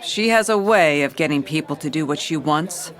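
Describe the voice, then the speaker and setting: Hard-boiled, deadpan police commissioner. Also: female voice, sits low, moderate pace. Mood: dry, determined. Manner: dry, deadpan. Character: female police commissioner, hard-boiled, dogged, world-weary investigator. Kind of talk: noir detective narration and dialogue.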